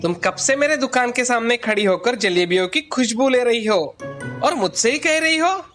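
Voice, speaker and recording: A performer voicing a greedy, bullying male sweet-shop keeper in a children's cartoon story, gruff and demanding. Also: mid-range voice, lively intonation, normal speed, fairly bright tone, soft background music, pitched below the performer's own voice, lightly acted. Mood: threatening.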